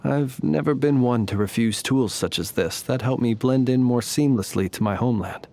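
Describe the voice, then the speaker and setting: A courtly fae lantern keeper of the night , acted by a man, speaks in a medium-pitched male voice. He is calm, wry and very formal, shaping eloquent lines with measured, theatrical care, in the manner of a video game character. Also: close to an everyday voice. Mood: reflective.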